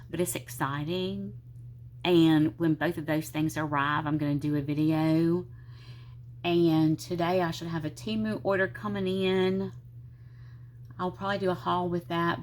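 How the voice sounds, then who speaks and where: Sick, tired woman, gravelly low voice. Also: slight background noise. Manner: tired, low-energy, rambling. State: sick, congested, sore throat. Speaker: planner vlogger. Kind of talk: casual vlog talk to viewers. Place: home desk, indoors.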